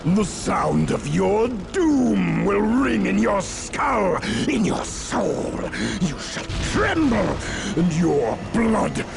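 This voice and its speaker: A man playing a menacing, fanatical chaos warlord in a video game cutscene, theatrical and slow, swinging between shouts and hisses. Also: sits high, sing-song, gravelly, exaggerated voice. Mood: menacing, gloating. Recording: loud music under the voice.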